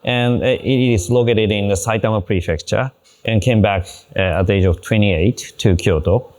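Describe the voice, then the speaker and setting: A man with a Japanese accent, a monk on a panel, explaining things in simple, halting English. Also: on the low side, darkish tone. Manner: halting, simple non-native English phrasing. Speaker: panel guest, Buddhist monk. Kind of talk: conversational explanation in a panel talk.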